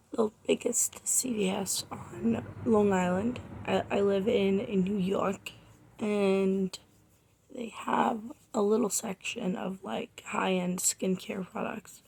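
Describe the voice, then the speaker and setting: A woman in her twenties, a makeup artist hoarse from a cold, chatting casually and rambling to her viewers through a makeup tutorial. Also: medium pitch, a little mellow, a little hiss or hum, low-quality recording. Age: 20s. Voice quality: raspy. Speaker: makeup artist and beauty vlogger. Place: home, under a makeup light.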